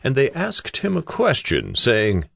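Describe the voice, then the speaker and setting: A man narrating a dramatized Bible story in a solemn, measured, scripted style. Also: mid-range voice, wildly swooping pitch, creaky, fairly bright tone, no echo, clean studio sound, exaggerated voice.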